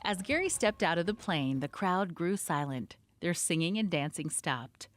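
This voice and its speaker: Lively woman narrating a story. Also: lowish voice, moderate pace, faint music behind. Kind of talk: scripted narration.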